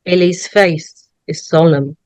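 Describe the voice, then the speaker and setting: A woman's voice: fairly low pitch, fairly even intonation, slow, a little mellow, dry recording. A woman reading screenplay directions as a scripted narrator.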